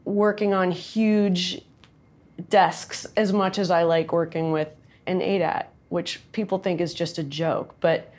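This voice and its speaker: A woman with a nasal, loud voice speaks in a casual, candid interview. Her talk is chatty and quick, full of asides. She sits a bit low in pitch for a woman. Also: fairly even intonation. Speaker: songwriter and recording artist guest.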